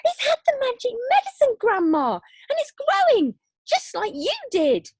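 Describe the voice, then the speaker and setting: A woman voicing an excited young boy who shouts breathlessly. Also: very high voice, unhurried, no echo, pitched above the performer's own voice, full character voice. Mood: thrilled.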